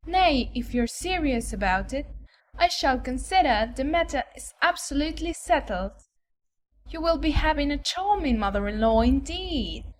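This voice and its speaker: Woman acting a high-voiced catty society lady. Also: lively intonation, moderate pace, slight background noise, rough recording. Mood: teasing, mocking. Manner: arch, teasing. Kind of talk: dramatized audiobook acting.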